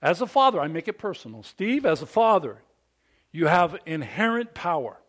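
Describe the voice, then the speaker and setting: A man in his fifties preaching a sermon to fathers, with a big, animated delivery that calls for amens from the congregation. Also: on the high side, normal speed. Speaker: pastor.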